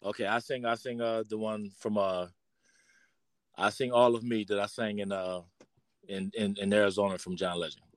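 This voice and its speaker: A man's voice: on the low side, little pitch movement, normal speed, dry recording. Middle-aged American man in close, dry sound. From Pontiac, Michigan, United States. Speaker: interview guest, performing artist. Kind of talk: casual reminiscing interview talk.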